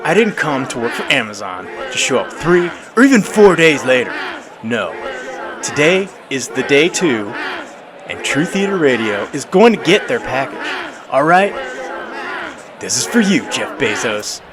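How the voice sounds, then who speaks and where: A man playing a frantic, eager delivery driver who shouts and gives himself panicky pep talks in a comic audio drama. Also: mid-range voice, very animated, a little slow, crowd noise, background noise. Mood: determined.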